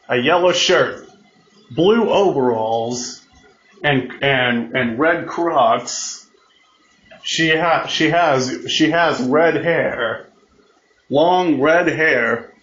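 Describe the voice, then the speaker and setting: A man improvising a mock emergency broadcast announcement, haltingly, often repeating and restarting his phrases. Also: on the high side, a bit bright. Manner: mimics alert tones with spoken sounds. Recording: muffled, echoey room recording.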